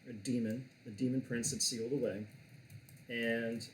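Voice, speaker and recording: A man narrating as game master in a relaxed, rambling way. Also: mid-range voice, moderate pace, echoey room, a little hiss or hum, poor audio.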